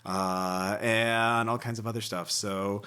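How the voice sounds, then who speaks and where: A man's voice: fairly low pitch, fairly even intonation, takes it slowly, sharp tone, clear, resonant tone. A man hosting a casual tabletop gaming podcast, sometimes reading rulebook passages aloud and sometimes talking conversationally. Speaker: podcast host.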